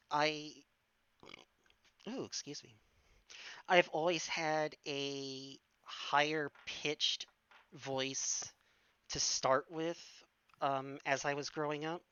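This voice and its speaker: Bright, crisp woman, slow and halting. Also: low voice, no room sound, dull audio. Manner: hesitant, many fillers and restarts. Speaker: stream guest. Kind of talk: casual Q&A chat.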